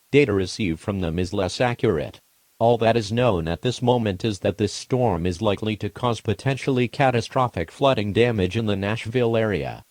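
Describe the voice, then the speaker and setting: Casting: man playing impersonal emergency broadcast announcer, lowish voice, dry close sound, measured formal reading. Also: darkish tone, no room sound, natural voice.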